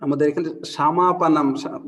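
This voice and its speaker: A man's voice: on the high side, steady tone, very fast, a bit bright, big-room sound. A man with a Bangladeshi accent, speaking in a friendly, urging way while leading a small online group discussion. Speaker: breakout group participant. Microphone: video call.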